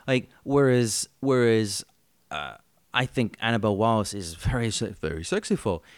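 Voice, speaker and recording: A man co-hosting a podcast in casual chat, excitable and quick to laugh. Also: on the low side, no room sound, studio quality. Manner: excitable, laughs easily, jokey. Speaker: podcast co-host.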